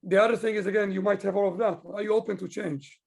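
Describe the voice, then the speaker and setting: Husky, high-pitched man from Israel. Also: fairly even intonation, fast, a little mellow. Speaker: business owner, digital consultant being interviewed. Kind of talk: interview answers, conversational.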